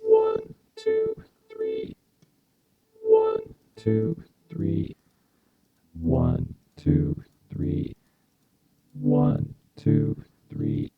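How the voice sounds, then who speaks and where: A man's short test phrases played back as samples through a pitch-shifting voice modulator. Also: huge pitch swings, extremely slow, long pauses, soft background music. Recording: thin phone-like band, musical tones behind.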